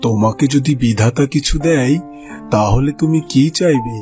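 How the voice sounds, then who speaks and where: A wise, kindly holy man from a cartoon fairy tale, acted by a man. His mid-range voice is calm, reassuring and fatherly. Music runs clearly underneath. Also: medium pitch, normal speed, lightly acted. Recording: music behind the voice.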